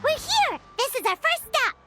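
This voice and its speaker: A woman voicing a tiny, cheerful, boastful fairy girl companion in a video game, with an excitable manner. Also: extremely high pitch, normal speed. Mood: eager, proud. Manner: excitable, refers to self in third person. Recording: background music under the voice.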